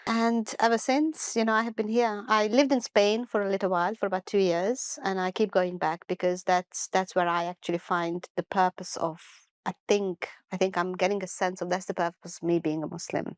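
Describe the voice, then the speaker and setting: A woman's voice: medium pitch, fairly even intonation, moderate pace, hardly any pauses, clear, resonant tone, no echo, studio quality. A middle-aged woman from Hungary, telling her life story as an interview guest in a reflective way, often restarting and correcting herself. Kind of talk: personal life story told in conversation.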